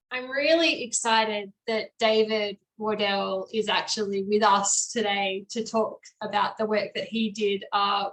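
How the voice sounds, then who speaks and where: A woman's voice: mid-range voice, pushed and bright, rough recording. A woman hosting an online event and introducing speakers. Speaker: event host.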